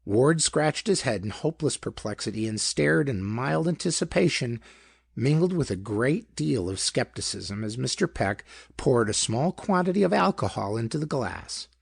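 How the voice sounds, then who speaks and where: A man reading a pulp detective story as scripted narration, in an expressive, theatrical manner. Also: medium pitch, normal speed, creaky, husky, no room sound, studio quality. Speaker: audiobook narrator.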